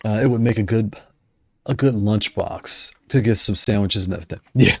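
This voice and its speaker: A man chatting casually on a livestream, rambling and correcting himself as he goes, his voice going hoarse. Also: lowish voice, normal speed, dry recording. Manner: rambling, self-correcting, conversational. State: losing his voice. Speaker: streamer discussing controllers.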